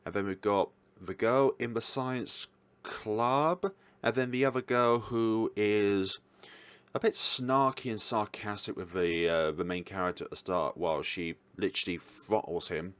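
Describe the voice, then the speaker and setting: A man's voice: on the low side, measured pace, fairly bright tone, clean tone, no room sound. A man giving casual, informal commentary as a reviewer, talking in a rambling way. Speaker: visual novel reviewer.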